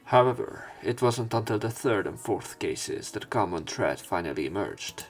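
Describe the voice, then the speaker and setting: Low-voiced man narrating a visual novel. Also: lively intonation, moderate pace, soft background music. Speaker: let's player. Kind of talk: visual novel let's play, reading text aloud with casual commentary.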